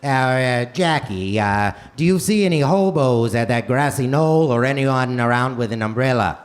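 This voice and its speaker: A man playing a swaggering American president with a Boston accent and halting, uh-filled delivery in a comedic radio play. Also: medium pitch, expressive, lightly acted. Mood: suave, halting. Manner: halting, peppered with drawn-out ers and uhs. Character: heroic swaggering American president, folksy and hesitant, JFK parody. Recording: noisy live theater stage recording.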